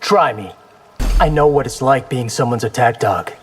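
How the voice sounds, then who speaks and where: A man's voice: medium pitch, normal speed, a little hiss or hum, lightly acted. A man playing a young ninja warrior in a fighting game, wry, cocky and casual. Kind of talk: fighting game character acting.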